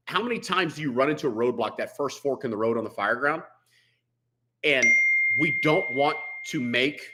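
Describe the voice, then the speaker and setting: Man, forceful bright tone, mid pitch, blunt, colloquial, firefighter interview talk. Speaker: veteran firefighter, podcast guest.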